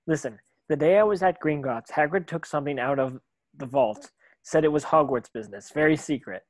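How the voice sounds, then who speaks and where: A man plays an earnest schoolboy hero in a script read. The voice is slightly high for a man, with an uneasy, sincere delivery. The sound is dry. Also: lively intonation, fairly quick, a little mellow, dry recording. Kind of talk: screenplay table read.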